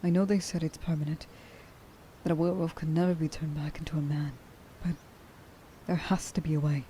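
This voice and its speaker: A woman playing a tender, emotional young huntress in an ASMR roleplay, speaking softly and breathily and often dropping toward a whisper. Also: sits low, level tone, a little fast, slight background noise, lightly acted. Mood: earnest, reassuring. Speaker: voice actor.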